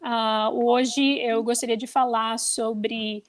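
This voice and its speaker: A woman's voice: a little high, level tone, slow pace. Hesitant Brazilian woman, rusty Portuguese. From Curitiba, Brazil. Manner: hesitant, mixing in English words. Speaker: guest. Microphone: video call.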